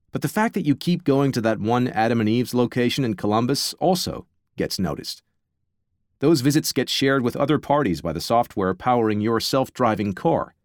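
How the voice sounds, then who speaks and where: American man narrating with lively, swooping intonation. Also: medium pitch, no room sound, studio quality. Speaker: audiobook narrator. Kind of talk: scripted nonfiction narration. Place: dry, close studio recording.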